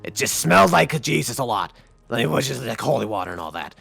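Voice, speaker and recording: A man voices a scatterbrained vampire underling for a game roleplay. The voice is thick with creak and rasp, with faint music behind. He chatters informally in loose, repetitive rambles. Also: medium pitch, big pitch swings, quick pace, fairly bright tone, no room sound, full character voice. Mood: rambling, eager. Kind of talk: Minecraft roleplay acting.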